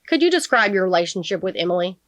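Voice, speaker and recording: A woman reading the part of a courteous male defense attorney, questioning a witness gently and formally as in a courtroom novel. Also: mid-range voice, sing-song, fast talker, no room sound. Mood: gentle.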